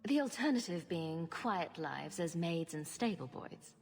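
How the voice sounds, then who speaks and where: An actress playing a sarcastic, self-assured rogue heroine in a fantasy video game, with a dry manner. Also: female voice, fairly low pitch, lively intonation, measured pace, darkish tone, slightly rough, big-room sound, faint music behind, heavily acted. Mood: sardonic. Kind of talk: video game character acting.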